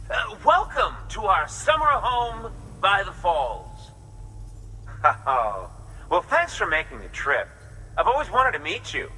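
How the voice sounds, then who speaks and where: A goofy, eccentric oddball in a game cutscene, with a very bright, forceful voice that swings up and down. He hams up his jokes at an unhurried pace and chuckles at his own puns, eager for a laugh. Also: sits high, in a large hall, a little hiss or hum, exaggerated voice, poor audio. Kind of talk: video game cutscene acting.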